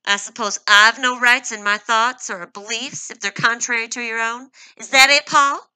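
A woman's voice: mid-range voice, animated, unhurried, pushed and bright, dry recording, voice pushed up, heavily acted, rough recording. A woman voicing a hurt, defiant young wife who speaks in a strained, rising voice, close to tears.

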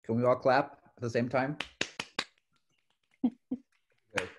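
A man with a husky voice moderates an online class session. He keeps things moving briskly and invites the students to ask questions. He is speaking into a laptop microphone. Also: mid-range voice. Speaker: course instructor hosting the session. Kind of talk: moderating a class over video call.

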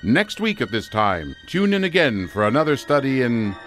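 A male radio drama host delivers scripted closing credits in an old-time announcer style. His mid-pitched voice moves in lively swings over clearly audible music. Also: normal speed.

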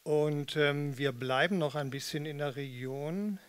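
A man in his sixties from eastern Germany talks from a stage between performances. He tells things casually and wryly, in halting, drawn-out explanations, speaking closely into a microphone. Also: on the high side, clear, resonant tone. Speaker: spoken-word performer and musician introducing pieces. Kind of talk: casual, storytelling stage introduction. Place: small theatre or cinema hall with red seats. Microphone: studio microphone on stand with pop filter, close.

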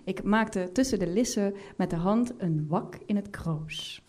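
A woman's voice: medium pitch, unhurried, a little mellow. A woman presenting live as host of a cultural salon.

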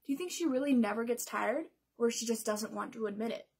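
A young woman with a high voice reads a children's picture book aloud. She hosts a storytime and speaks expressively. She addresses her young listeners directly. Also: fairly quick, a little mellow, breathy edge. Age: young adult. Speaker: library storytime host. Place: small bedroom indoors.

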